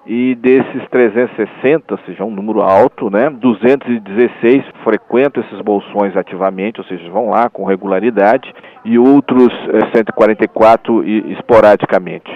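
A man's voice: on the low side, moderate pace, few pauses. A man who edits a university newsletter, answering interview questions on the radio, hesitant, restarting phrases and adding tag questions. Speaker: newsletter editor, radio guest. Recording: phone-line quality.

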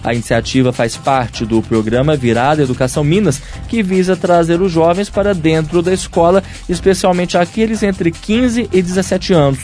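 A man presenting a radio news bulletin, reading a script clearly and steadily. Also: a little high, fairly even intonation, normal speed, few pauses, a little mellow, faint music behind.

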